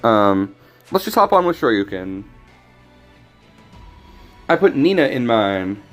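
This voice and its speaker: A man casually commenting on his card game as he plays, thinking aloud with frequent restarts, his voice a little raspy today. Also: lowish voice, big pitch swings, takes it slowly, faint music behind. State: slightly raspy voice. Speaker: streamer.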